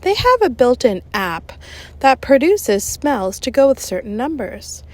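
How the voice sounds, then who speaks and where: Woman acting a gleeful prankster schoolteacher, lifted and lively. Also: high voice, unhurried, voice pushed up. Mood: brisk, explanatory. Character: prankster female fourth-grade teacher, gleeful, instructive.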